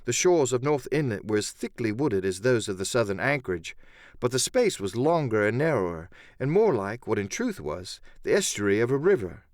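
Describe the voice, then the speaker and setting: A man's voice: mid-range voice, big pitch swings, moderate pace, darkish tone, no echo, studio quality. A man giving a dramatic first-person narration of an adventure story as an audiobook narrator.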